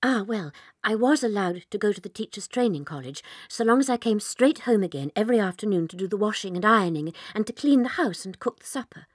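An actress playing a gentle, timid young schoolteacher with a British accent, soft and confiding, in an audio drama. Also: female voice, medium pitch, animated, fast, hardly any pauses, slightly rough, no echo, studio quality. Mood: troubled, confiding.

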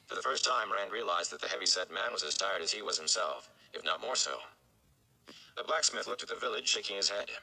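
A man's voice: low-pitched, animated, brisk, vocal fry, sharp tone, poor audio. A man in his thirties giving a dramatic audiobook-style narration of a fantasy novel. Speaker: read-along reader. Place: indoor room at home.

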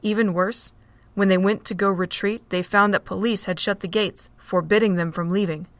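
A woman narrating an amateur audio drama in a steady, plain reading. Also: mid-range voice, moderate pace, no echo. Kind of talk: storybook narration.

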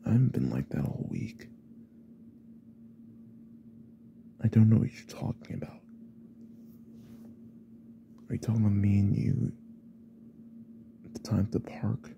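Guy acting as a clingy yandere boyfriend type for asmr, super creaky hushed voice, probing and possessive, noisy recording. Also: lowish voice, lively intonation, moderate pace, long pauses, lo-fi sound. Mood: hushed, evasive. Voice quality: breathy, half-whispered, gravelly. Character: possessive yandere young man, quietly obsessive, protective classmate. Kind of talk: ASMR roleplay.

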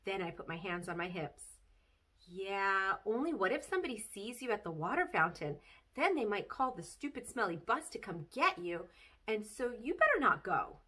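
An excitable, silly little storybook girl, as a woman reader plays her, chattering about her mischief. The delivery is bright and bubbly, with dramatic swoops in pitch. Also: moderate pace. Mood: matter-of-fact. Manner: childlike phrasing, dramatic, bubbly. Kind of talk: expressive children's storybook read-aloud.

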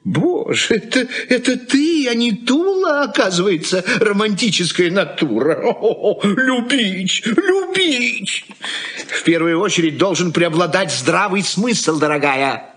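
A man's voice: high voice, very animated, measured pace, a bit bright, husky, full character voice. An actor playing an irritable, practical middle-aged husband and father in a Soviet radio play, lecturing in a condescending way. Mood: mocking. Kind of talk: Soviet radio drama acting.

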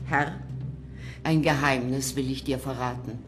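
An actress playing an ancient, dignified, mysterious female elder in a radio drama, solemn and deliberate. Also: a bass voice, steady tone, faint music behind. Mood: solemn, secretive. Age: older.

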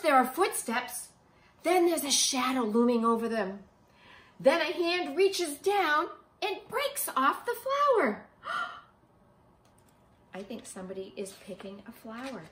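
Middle-aged female preschool teacher, very lively storybook read-aloud, emphatic and child-directed, in a roomy living room. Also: high voice. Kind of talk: picture-book read-aloud for young children with simple questions. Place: small living room, indoors on a sofa. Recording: roomy home recording.

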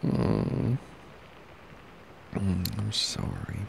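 A man doing an intimate boyfriend ASMR roleplay close to the mic, murmuring softly, drowsily and affectionately. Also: big pitch swings, extremely slow, a little hiss or hum. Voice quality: low, gravelly, creaky. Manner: soft, drowsy, affectionate murmuring, often breathy half-whisper. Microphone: close ASMR microphone.

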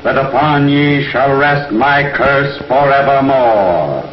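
Man acting an evil ancient god, extremely slow, echoing. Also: medium pitch, slight background noise, lightly acted. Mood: menacing, solemn. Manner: slow, solemn, intoning. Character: evil ancient idol god pronouncing a curse. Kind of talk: 1950s television science fiction acting. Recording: echoing, muffled old TV soundtrack, music behind.

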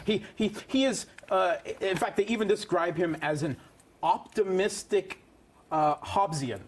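A man in his forties on a studio political panel, speaking as an analyst in a halting, emphatic, scornful way. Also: high-pitched, slight background noise. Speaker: panelist, foreign affairs analyst. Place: TV studio at a round desk.

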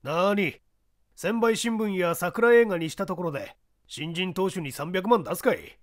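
男性が演じる高めで威圧的な社長. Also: male voice, high-pitched, unhurried, voice pushed up. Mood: blustering. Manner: forceful, emphatic, bossy. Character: blustering, skeptical male company president.

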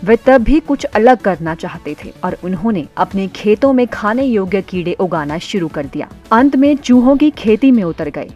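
A woman narrating a news feature in a steady, formal voice-over. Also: medium pitch, moderate pace, few pauses, dull audio, soft background music.

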